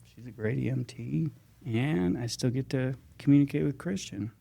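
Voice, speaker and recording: An American man, around his thirties, chatting casually as a podcast guest in a halting, self-correcting way. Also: mid-range voice, vocal fry, mellow. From Texas and Georgia, US. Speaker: guest paramedic.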